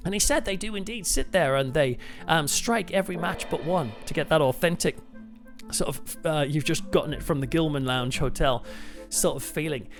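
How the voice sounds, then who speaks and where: Man, notably high voice, chatty keen host of a casual review show, soft music bed. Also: normal speed. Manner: enthusiastic, chatty.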